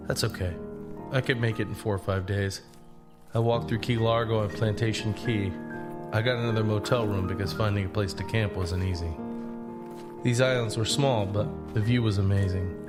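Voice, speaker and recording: A man's voice: lowish voice, steady tone, moderate pace, close to an everyday voice. A man playing a laid-back young traveler, telling his story in a plain, conversational first-person narration. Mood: easygoing, reflective. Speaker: narrator and lead. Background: guitar music.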